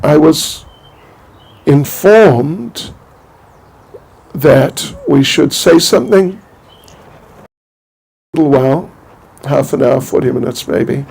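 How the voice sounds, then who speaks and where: Slow, gravelly older man giving a talk. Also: a little high, expressive, a little mellow, poor audio. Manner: halting, rambling, with many restarts; chuckles to himself. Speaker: spiritual teacher. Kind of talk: informal spiritual talk.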